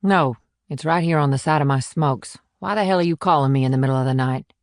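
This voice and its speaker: Woman playing a low, husky, blunt policewoman. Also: fast talker, dry recording, studio quality, natural voice. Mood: irritated. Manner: blunt, irritable, salty-tongued. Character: gruff, groggy, sharp-tongued small-town policewoman who smokes. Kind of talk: audiobook character acting.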